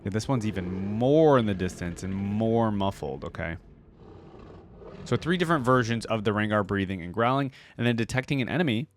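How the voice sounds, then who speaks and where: A man's voice: lowish voice, lively intonation, brisk, faint music behind. A young man giving casual, slangy reaction commentary, musing aloud as he goes. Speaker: reaction video host.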